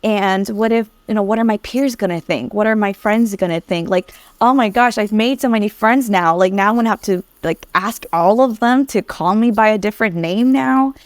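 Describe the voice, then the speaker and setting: A woman from Vietnam, a podcast guest, candidly telling her personal story with lively, animated recollection. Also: mid-range voice, normal speed, hardly any pauses, no echo. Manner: candid, lively, laughs while recalling. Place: Vietnamese-born guest, raised in America.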